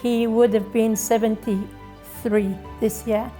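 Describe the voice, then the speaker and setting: A woman's voice: fairly high pitch, little pitch movement, slow, dark tone. A woman sharing family memories in a documentary interview. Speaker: interviewee, relative of fallen soldier. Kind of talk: personal documentary interview recollection. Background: music.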